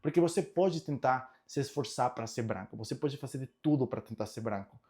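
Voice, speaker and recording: Man, native Spanish speaker, Latin American accent, medium pitch, emphatic, repeats key words, opinion vlog. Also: lively intonation, a little fast, a little mellow. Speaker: social media content creator. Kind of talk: opinion monologue to followers.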